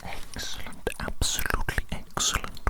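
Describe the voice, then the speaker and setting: An ASMR artist whispering softly and slowly into a close mic, playing a hearing examiner with patient, repetitive praise and a fond pet name for the listener.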